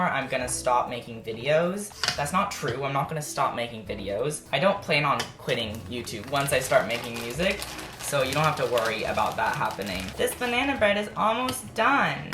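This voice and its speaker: A man's voice: mid-range voice, expressive, normal speed, music in the background. Rambling teen guy in an echoey kitchen. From United States. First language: Japanese. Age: late teens. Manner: rambling, carefully articulated words. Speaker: YouTuber. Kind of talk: casual baking vlog chat. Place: home kitchen.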